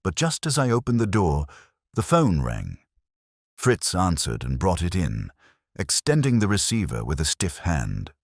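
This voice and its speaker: Very deep-voiced man narrating detective fiction. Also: huge pitch swings, unhurried, dry recording, studio quality. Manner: wry, hardboiled storytelling. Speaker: audiobook narrator. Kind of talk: scripted first-person detective story narration.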